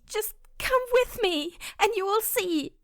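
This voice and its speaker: A woman's voice: very high-pitched, animated, slow pace, no room sound, studio quality, heavily acted. A woman playing a frantic, earnest goddess of life and death in a fantasy audio drama, urgent and dramatic. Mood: panicked.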